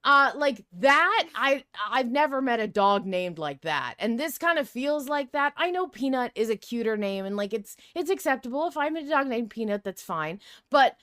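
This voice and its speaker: A woman podcast co-host in casual, unscripted debate, speaking in an animated, blunt and argumentative way. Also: a little high, moderate pace, hardly any pauses, dry recording, clean studio sound.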